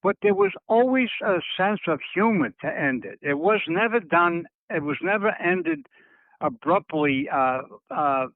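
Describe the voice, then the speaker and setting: Halting older man on a tinny phone line. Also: on the high side, expressive, no room sound. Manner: halting, circling and rephrasing, searching for words. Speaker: interviewee recalling family history. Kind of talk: reflective phone interview talk. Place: on a telephone call. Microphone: telephone handset.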